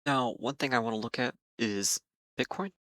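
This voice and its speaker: A man hosting market commentary for viewers, speaking in a measured, cautious way with frequent ums and repeated words while losing his voice. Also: mid-range voice, sharp tone, dry recording, studio quality. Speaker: market analysis host.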